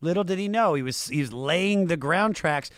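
Man, American, podcast host, animated pitch swings, enthusiastic, dry studio sound. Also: moderate pace, no echo. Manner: enthusiastic, emphatic. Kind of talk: casual music talk.

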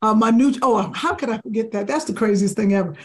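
A woman comedian with a deep, raspy voice, chatting casually as a podcast guest, animated and rambling. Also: fast talker.

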